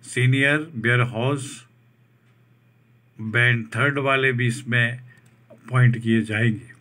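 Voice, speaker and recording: A man reads out a job advertisement over a document, slowly restating each English term in Hindi. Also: mid-range voice, steady tone, sharp tone. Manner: slow, deliberate, restates each point in Hindi after English terms. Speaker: job vacancy channel host.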